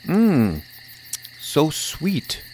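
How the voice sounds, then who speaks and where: A man's voice: fairly high pitch, huge pitch swings, extremely slow, darkish tone, slight background noise, heavily acted. A man playing a sly, greedy trickster jester in a fantasy audio story, with a showy, teasing way of savouring his words. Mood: greedy delight.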